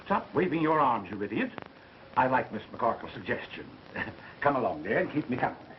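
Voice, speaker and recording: A man's voice: medium pitch, very animated, a little fast, a little hiss or hum, lightly acted. An actor playing an irascible, self-important businessman and show sponsor in 1930s melodrama style, bossy and grumbling. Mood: self-satisfied. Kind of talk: 1930s film melodrama acting. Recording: muffled vintage 1930s film soundtrack, music behind.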